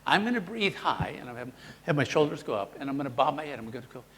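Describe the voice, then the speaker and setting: A man's voice: mid-range voice, racing, long reverb tail. A man presenting a live workshop, teaching the audience and acting out voice examples himself. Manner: animated, demonstrative, models voices for the audience.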